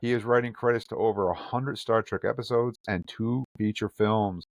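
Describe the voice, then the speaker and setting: A man's voice: on the low side, fairly even intonation, moderate pace, gravelly, no echo. A man hosting a fan podcast, chatting casually and reading news items aloud. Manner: chatty, reads articles aloud with quotes. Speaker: podcast host.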